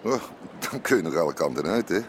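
An actor playing a folksy, proud village traveller, chatting unhurriedly in a radio drama. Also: male voice, sits low, very animated, quick pace, a little hiss or hum. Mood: chatty, proud.

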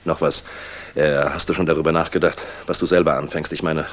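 A man's voice: extremely low pitch, little pitch movement, brisk, a little hiss or hum. A man acting a weary, hard-driven general in a 1960s German radio drama, terse, urgent and wry. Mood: gruff, concerned.

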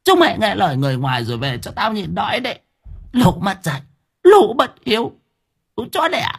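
A man's voice: high-pitched, huge pitch swings, moderate pace, a bit bright, slightly rough, voice pushed up, exaggerated voice. A man voicing a ravenous, possessed old grandmother who screeches curses and snarling threats at her family. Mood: furious.

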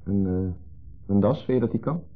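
An actor playing an insecure, jealous husband in a 1970s radio play, hesitant, fretful and full of questions. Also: male voice, fairly low pitch, lively intonation, moderate pace, soft background music, lightly acted. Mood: anxious, fussing. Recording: muffled narrow-band 1970s radio recording.

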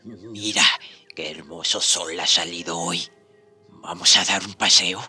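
An actor playing a kindly priest in a radio drama, coaxing and reassuring. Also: male voice, low-pitched, steady tone, measured pace, bright, forceful tone, breathy edge, faint music behind, exaggerated voice. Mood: consoling. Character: kindly, consoling priest, companion to an old friar.

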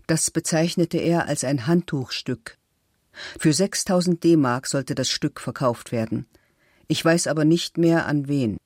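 Middle-aged woman suspect, slow n factual, clipped statements, dry close studio sound. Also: sits low, darkish tone, no room sound. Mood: matter-of-fact. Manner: clipped, matter-of-fact, factual recital. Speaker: actor reading case records. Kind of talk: scripted documentary radio reading of police records.

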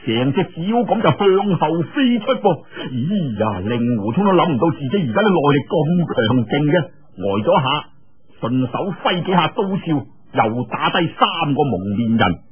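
A man with a husky voice tells a martial arts story in a dramatic, colloquial style with drawn-out particles. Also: fairly high pitch, sing-song. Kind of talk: animated Cantonese storytelling of a martial arts novel. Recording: dull, lo-fi radio-like audio.

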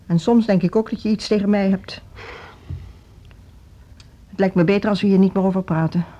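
An actress playing a firm, defensive music-school leader in a radio drama, speaking formally and articulately. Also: female voice, mid-range voice, steady tone, moderate pace, dark tone, lightly acted. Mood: defensive, reproachful. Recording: dull, noisy old radio recording.

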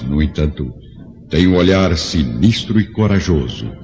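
Man, Brazilian accent, deep voice, measured dramatic narration, lo-fi, faint music bed. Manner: solemn, measured. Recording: long reverb, dull lo-fi, faint music.

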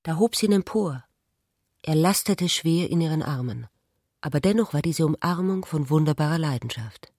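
Husky woman narrating literary prose. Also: deep voice, expressive, moderate pace, a little mellow, no room sound, studio quality. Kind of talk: literary radio play narration.

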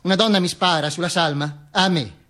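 A man's voice: high-pitched, fairly quick, fairly bright tone. A man performing a dramatic radio monologue in a declamatory way, with emphatic, repeated phrases. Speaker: stage actor performing a monologue.

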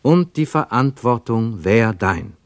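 A man's voice: medium pitch, expressive, takes it slowly, no echo. Man voicing a zealous, declamatory German youth. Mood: oath-like, loud. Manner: exalted, declamatory, fervent. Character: zealous German boy reciting Nazi-era pledges with exalted faith. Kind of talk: radio play narrator voicing quoted speech.

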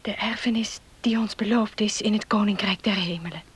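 A gentle, devout young fiancée in a radio drama. Her voice is breathy and mid-pitched, pleading with quiet piety and earnestness. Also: fairly even intonation, fairly quick. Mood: pleading, pious. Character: gentle, pious young woman, reluctant fiancée. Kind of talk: Dutch radio play acting.

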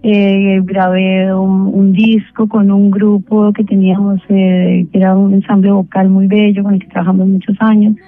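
A middle-aged woman from Bogotá with a Colombian accent, a singer speaking as an interview guest, measured and grateful with frequent pauses. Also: mid-range voice, level tone. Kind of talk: phone interview. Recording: tinny phone line with faint music.

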